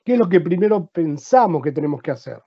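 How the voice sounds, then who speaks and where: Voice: mid-range voice, lively intonation, fast talker, husky, highs cut off. A speaker with an Argentine accent, a veterinarian giving a practical seminar presentation who explains step by step and asks the audience rhetorical questions.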